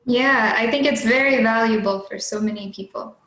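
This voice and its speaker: A woman moderates an online discussion and encourages each participant in turn. Her voice sits a little high. She sounds like she is in a roomy space with echo. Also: moderate pace, fairly bright tone. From United States. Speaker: discussion host. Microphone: video call. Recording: echoey room.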